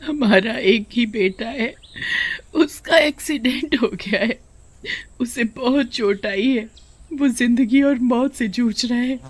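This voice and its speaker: A woman's voice: a little high, takes it slowly, voice pushed up. A woman voicing a distraught, tearful mother in a cartoon melodrama. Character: distraught, weeping mother, shop owner's wife.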